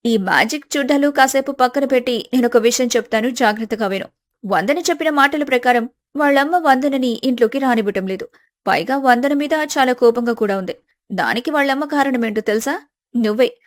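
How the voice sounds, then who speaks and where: A woman storyteller plays a caring, bossy young woman in a family tale. She speaks in a fairly high voice, scolding and advising like a loyal friend. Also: fairly quick, dry recording, close to an everyday voice. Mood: firm, advising.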